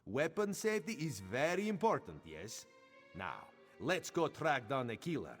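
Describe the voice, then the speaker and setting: A man's voice: medium pitch, very animated, unhurried. An actor voicing a vain, playful cat-man agent in a video game, speaking theatrically and with self-assurance. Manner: theatrical, self-assured, refers to himself by name. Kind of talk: video game character dialogue. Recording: music behind the voice.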